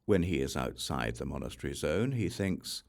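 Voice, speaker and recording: Deep-ranged man narrating with lively intonation. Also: normal speed, vocal fry. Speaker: audiobook reader. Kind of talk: scripted scripture narration.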